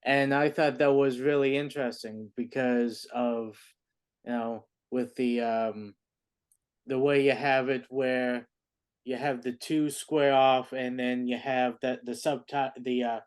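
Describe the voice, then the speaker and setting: Man, podcast co-host, casual, rambling, mid pitch. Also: steady tone, slow pace, clear, resonant tone. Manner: rambling, searching for words. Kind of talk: casual chat.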